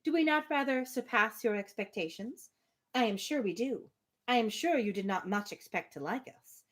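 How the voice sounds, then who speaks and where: A woman's voice: on the high side, lively intonation, moderate pace, close to an everyday voice. A woman reading the part of a gracious, playfully teasing young lady from a novel, in polite, witty, formal speech.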